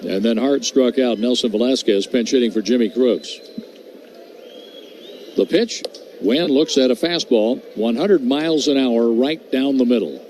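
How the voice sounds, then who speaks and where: A male radio announcer calling baseball play-by-play at a mid pitch. He runs through the numbers in short, crisp bursts and calls each pitch as it comes. A faint hum of noise sits under his voice. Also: unhurried, darkish tone.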